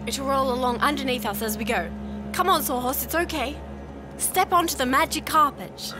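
Young princess woman acting, kinda high voice, expressive storybook adventure telling, music behind her. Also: moderate pace, lightly acted. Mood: coaxing. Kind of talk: dramatised storytelling audio drama.

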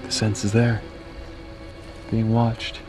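An actor playing a seasoned, dryly wry hunter in an audio drama, terse and matter-of-fact. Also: male voice, on the low side, monotone, slow pace, over music. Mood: knowing, terse.

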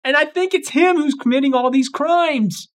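A man's voice: very high voice, moderate pace. A man playing a flustered, pleading innocent suspect in an improvised video game roleplay. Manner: exaggerated, pleading protests.

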